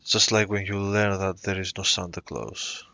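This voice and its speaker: An actor playing a sinister, dim, blunt villain who gloats with menace in a video game, the sound slightly muffled. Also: male voice, low voice, level tone, normal speed, vocal fry, sharp tone, heavily acted. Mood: gloating.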